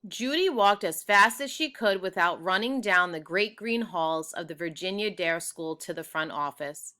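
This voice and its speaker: A woman reading a children's book aloud, with expressive, deliberate storytelling. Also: on the low side. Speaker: storybook reader.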